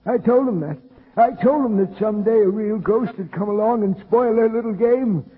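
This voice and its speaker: An actor playing a gullible, folksy old man who stammers in a cracked, raspy voice, from a tinny old radio drama. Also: high-pitched, normal speed. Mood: excited, gleeful. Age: older. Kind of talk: old-time radio character acting.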